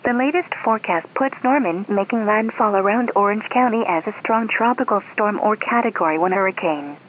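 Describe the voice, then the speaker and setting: A woman's voice: medium pitch, a little fast. A woman reading a scripted storm update in a formal bulletin manner. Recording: tinny, noisy phone-like alert broadcast.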